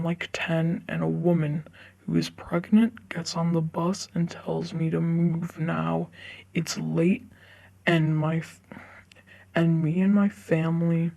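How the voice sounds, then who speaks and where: A woman reading online forum stories aloud in a halting way, often stumbling over the words. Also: fairly low pitch, creaky, mellow. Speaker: narrator reading online stories for a video channel.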